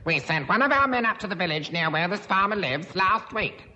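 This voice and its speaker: A man playing a fussy, excitable government tax official in a radio comedy. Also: high voice, brisk, slight background noise, exaggerated voice. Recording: old radio recording with reverb.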